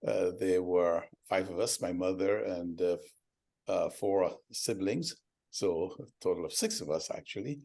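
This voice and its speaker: An older man from Guyana, a guest telling his life story in a measured way with frequent pauses. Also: sits low, vocal fry, mellow. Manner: measured, recalls details with many "uh" pauses. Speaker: guest, doctor and company founder.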